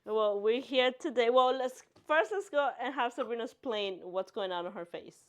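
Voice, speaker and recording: A woman's voice: fairly high pitch, expressive, moderate pace. A woman in her late twenties co-hosting a podcast in a casual, chatty style. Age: late 20s. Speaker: podcast co-host.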